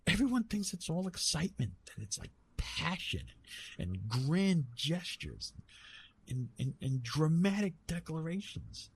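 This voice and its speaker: A man voicing a weary, wry retired god of love in an ASMR roleplay, with dramatic, halting delivery and repeated words. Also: a little high, big pitch swings, vocal fry, a little hiss or hum, voice pushed up, heavily acted. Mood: bemused grumbling.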